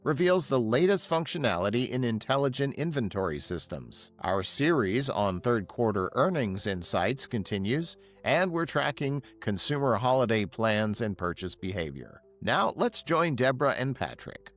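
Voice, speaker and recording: A man's voice: mid-range voice, sing-song, moderate pace, few pauses. A man announcing a podcast introduction in scripted narration. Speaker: show announcer. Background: music.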